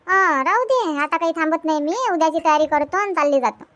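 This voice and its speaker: A woman voicing a stubborn grown daughter, a young village mother, in a comedy cartoon, brisk and homely with a Vidarbha Marathi accent. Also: very high voice, fairly bright tone. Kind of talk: homemade comedy cartoon dubbing.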